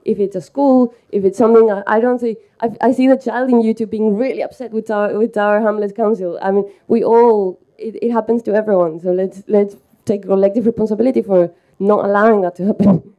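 A woman's voice: medium pitch, fairly even intonation, fairly quick. A young woman speaking impromptu as a panelist at a live event, into a handheld microphone. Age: young adult. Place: festival tent. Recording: slightly dull live sound.

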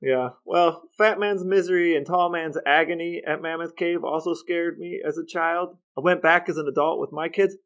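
Middle-aged man, slightly high voice. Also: fairly even intonation, moderate pace, hardly any pauses, a bit bright. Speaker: podcast co-host. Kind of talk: casual chat and interview questions.

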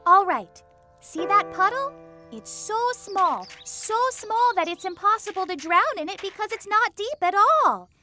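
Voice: very high-pitched, big pitch swings, unhurried, bright, forceful tone, faint music behind, exaggerated voice. An actor voicing a small, friendly animal cub in a children's cartoon, caring, encouraging and reassuring. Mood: explaining kindly.

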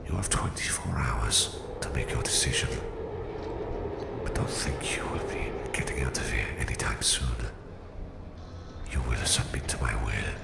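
A sinister Russian mastermind for an ASMR roleplay. His voice is extremely low and thick with gravelly creak, gloating coldly over a captive in deliberate whispers. It rings in a roomy echo. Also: animated, loud music behind the voice, heavily acted. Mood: cold threat. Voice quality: very deep, gravelly, breathy whisper. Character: menacing Russian psychic villain, a cold, gloating captor. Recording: roomy, echoing voice.

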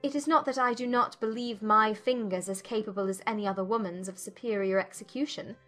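An actress playing a witty, self-possessed young gentlewoman, poised and teasing, in a dramatized audiobook. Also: mid-range voice, steady tone, brisk, faint music behind, close to an everyday voice. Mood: playful, teasing.

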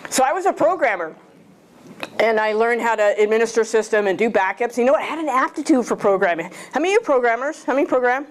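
A woman's voice: fairly high pitch, animated, quick pace. A woman in her fifties giving a casual, jokey talk to a club audience in a small lecture room, using a handheld mic and often asking the room questions. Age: 50s. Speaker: guest speaker.

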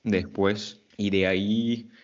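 A man in his thirties from France hosting a friendly interview over a video call, asking questions slowly and clearly. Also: fairly low pitch, flat delivery. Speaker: host of a language channel. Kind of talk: conversational interview. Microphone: earphone headset on a video call. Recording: dull video call audio.

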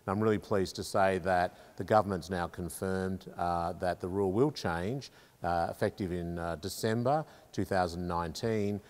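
A man's voice: low-pitched, normal speed. An Australian man in his fifties explaining something to camera as a company representative. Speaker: car insurance company representative and enthusiast. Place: large indoor car storage garage. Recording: echoey hall-like reverb.

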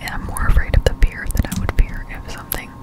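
Whispering young woman, close to the mic. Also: fast. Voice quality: whispered, breathy. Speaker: gameplay streamer. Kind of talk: whispered gaming ASMR, casual rambling commentary. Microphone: close-up studio USB microphone.

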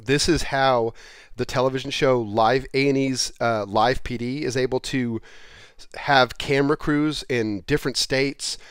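A man's voice: medium pitch, fairly even intonation, unhurried, fairly bright tone, smooth, dry recording. A man around forty giving a casual, off-the-cuff tutorial in an informal, plain-spoken way on a headset mic. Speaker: presenter of a software how-to. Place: small indoor room, plain wall. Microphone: headset microphone.

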